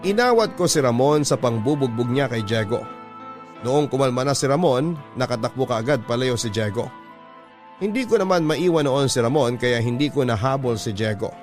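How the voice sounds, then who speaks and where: A man's voice: mid-range voice, animated, moderate pace. A man narrating a first-person story for a radio drama in a scripted storytelling style. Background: instrumental music.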